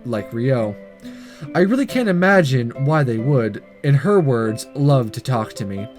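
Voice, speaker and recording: A man's voice: mid-range voice, expressive, a little slow, darkish tone, faint music behind. A man hosting a gaming video, reading a visual novel aloud with casual comments and stumbling over the odd line. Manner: stumbles and rereads lines.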